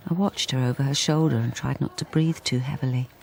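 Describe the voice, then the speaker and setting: An actress playing a lonely middle-aged wife who has turned invisible, narrating a radio drama in a hesitant, confiding, understated way, at times in a breathy near-whisper. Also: female voice, very deep voice, steady tone, fairly quick, darkish tone. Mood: wry, subdued.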